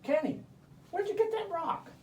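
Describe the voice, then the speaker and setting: A man voicing a dim, curious puppet sidekick in a comic puppet show, slow-witted, hesitant and naive. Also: very high voice, darkish tone. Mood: naive curiosity. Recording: roomy, distant, hissy live recording.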